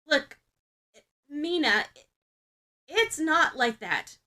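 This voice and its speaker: Woman as a flustered high-voiced teenage schoolgirl. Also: very animated, extremely slow, sharp tone, exaggerated voice. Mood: defensive. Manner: stammering, uncertain, overly emphatic denials.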